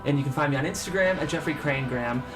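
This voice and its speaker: A man's voice: on the high side, fast talker, over music. A man hosting and narrating a screenplay table read, presenting the script to an audience. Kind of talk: show presenting and scripted stage-direction narration.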